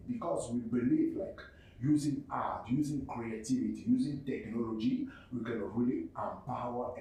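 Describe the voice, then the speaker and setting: A man from Cameroon whose first language is French, speaking English with a Cameroonian French accent. He presents his non-profit haltingly, often repeating words and restarting. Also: medium pitch, warm tone. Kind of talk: spoken presentation. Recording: very echoey room, far from mic, faint noise.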